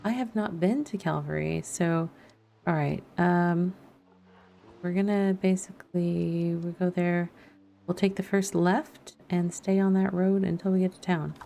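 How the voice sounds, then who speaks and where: A woman's voice: on the low side, fairly even intonation, measured pace, vocal fry, mellow, slight background noise. A woman game streamer giving casual let's-play commentary, thinking aloud and muttering to herself as she plays. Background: chill background music and game sounds.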